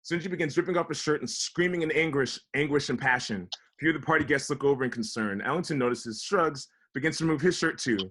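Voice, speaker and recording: A man's voice: a little high, fast talker, hardly any pauses, a bit bright, slightly rough. A man narrating scripted stage directions for a table read. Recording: online video call table read.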